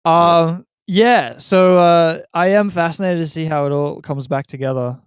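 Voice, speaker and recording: A young man hosts a loose, casual group chat. His voice sits fairly high for a man and sounds smooth and clean, recorded close and dry. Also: steady tone, a little slow, no echo. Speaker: podcast host.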